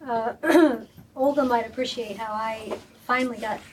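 A woman calmly telling how she came to love running in the woods. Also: on the high side, measured pace, husky, recorded from a distance, poor audio. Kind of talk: casual personal storytelling.

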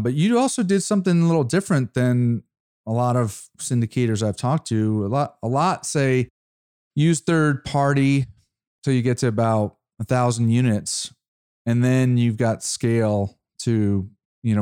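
A man's voice: medium pitch, warm tone, clear, resonant tone. A man hosting an interview, stopping and restarting as he frames his questions and affirms the guest's points. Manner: halting, restarts often, affirming. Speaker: podcast host. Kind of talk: casual interview.

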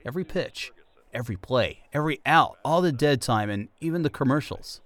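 A man's voice: mid-range voice, expressive, fairly quick, a bit bright. A man hosting a podcast, delivering scripted documentary-style narration.

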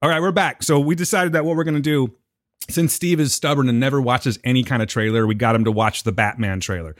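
A man's voice: mid-range voice, fast, no echo, studio quality. An American man co-hosting a podcast in casual banter, with a joking, teasing manner and some swearing. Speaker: podcast co-host.

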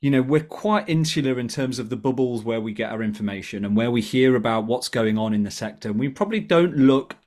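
A British man co-hosting a podcast, enthusing and reflecting in a casual way with plenty of restarts. His pitch is mid-range and unremarkable. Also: a little fast, hardly any pauses, darkish tone. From United Kingdom. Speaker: podcast co-host.

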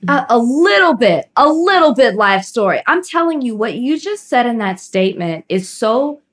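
A woman co-hosting a podcast, chatting casually and reacting excitedly to her guest. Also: on the high side, moderate pace. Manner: excitable, jumps in with gasps and reactions. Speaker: podcast co-host. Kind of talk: casual chat.

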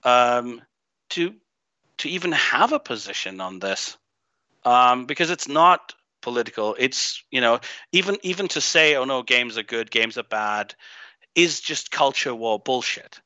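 A man's voice: mid-range voice, animated, a little slow, bright, forceful tone, no echo. A man who grew up in Greece, a guest in a casual interview, talking bluntly with swearing and plenty of ums and restarts. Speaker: podcast guest. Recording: slightly muffled dry recording.

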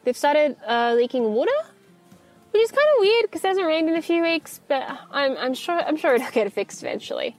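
Actress as anxious young female shop employee, high voice, polite and rambling, hesitant naturalistic audio-fiction delivery. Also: sing-song, brisk, soft background music. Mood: uneasy, apologetic. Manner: hesitant, rambling, nervous stammering and self-correcting.

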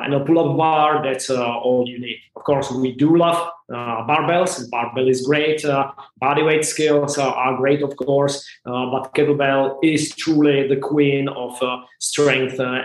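A man from the Republic, a martial arts and strength instructor talking as a guest in a casual interview, halting and earnest with many fillers. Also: mid-range voice. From Czech Republic. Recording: roomy echo.

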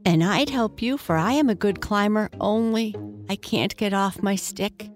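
A woman's voice: medium pitch, measured pace, faint music behind, pitched above the performer's own voice, natural voice. A woman voicing an eager but helpless toy monkey in a children's storybook, chattering away.

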